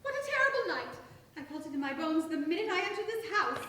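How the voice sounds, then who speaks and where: A woman's voice: very high-pitched, very animated, quick pace, sharp tone, heavily acted. A woman playing a haughty, melodramatic chatterbox lady in a staged radio play, theatrical and indignant, recorded echoey and distant with background noise.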